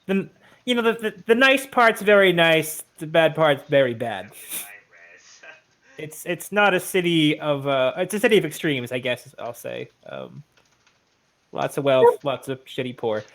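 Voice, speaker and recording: A man's voice: a little high, lively intonation, measured pace, a bit bright. A man chatting casually as a player in an online tabletop game. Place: online voice call.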